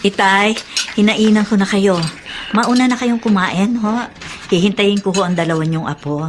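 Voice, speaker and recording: A woman's voice: mid-range voice, moderate pace, music in the background, natural voice. A woman playing a patient but anguished middle-aged Filipino mother in a radio drama, emotive and pleading. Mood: soothing.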